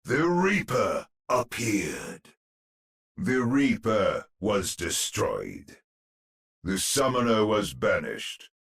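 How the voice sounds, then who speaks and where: Deep gravelly, pompous storyteller knight. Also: male voice, fairly bright tone, exaggerated voice. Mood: ominous, grand. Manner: pompous, dramatic, savoring words. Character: grandiose old storyteller knight narrating a spooky tale. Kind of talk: theatrical gothic storybook narration.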